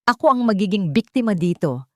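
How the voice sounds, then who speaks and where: A woman's voice: mid-range voice, very animated, a little fast, dry recording, voice pushed up, lightly acted. Woman voicing a desperate, furious villain husband.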